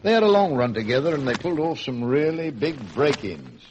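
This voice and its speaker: A man playing an older, avuncular British police sergeant in a vintage radio drama, with a gruff, colloquial storytelling manner. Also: mid-range voice, big pitch swings, fairly quick, a little mellow, lo-fi sound, natural voice. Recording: noisy old radio recording.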